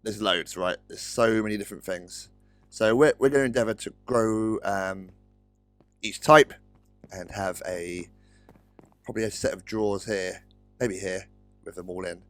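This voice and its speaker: A British man with a slightly croaky voice, rambling casually through gameplay commentary and correcting himself as he goes. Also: lowish voice, measured pace, pauses often, a bit bright, no room sound. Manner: rambling, self-correcting, chatty. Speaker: Let's Play host. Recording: dry close-mic recording with faint music.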